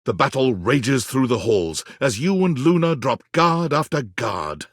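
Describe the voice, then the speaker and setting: A dramatic storyteller for a sci-fi video game. His mid-pitched voice is husky and rises and falls expressively. The scripted narration is recorded close and dry. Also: very animated, measured pace, dry recording. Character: male second-person narrator of a sci-fi game adventure.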